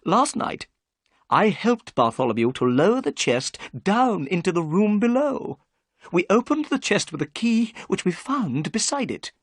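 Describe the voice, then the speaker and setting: A nervous, talkative gentleman chatters eagerly, his voice lifted high and fussy, as a narrator puts him on. The tone is breathy and lively, recorded close and dry. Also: unhurried, no room sound.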